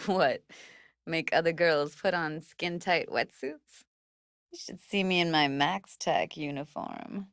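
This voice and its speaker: An actress playing a tough, sardonic but warm-hearted young woman in a video game, talking in casual, clipped slang. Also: deep voice, lively intonation, slow, gravelly, no echo, clean studio sound, full character voice. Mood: teasing, dry. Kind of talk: naturalistic video game voice acting.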